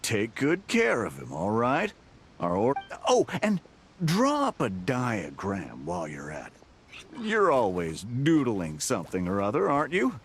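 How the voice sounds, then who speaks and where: An actor playing a demanding, patronizing company boss in a video game, with a condescending, theatrical delivery. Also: male voice, medium pitch, huge pitch swings, a little slow, slight background noise. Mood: patronizing, critical. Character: demanding, patronizing male company boss who turns flustered. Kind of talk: animated video game voice acting. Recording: music playing behind the voice.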